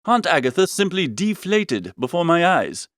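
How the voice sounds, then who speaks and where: A man's voice: on the high side, very animated, moderate pace, a bit bright, dry recording, studio quality. A man narrating a comic first-person story in a jaunty, slangy storytelling manner. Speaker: audiobook narrator.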